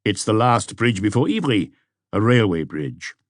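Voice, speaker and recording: A calm, probing detective chief inspector, voiced by a male narrator, speaks low and measured. He questions with polite insistence in a dry audiobook recording. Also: no room sound.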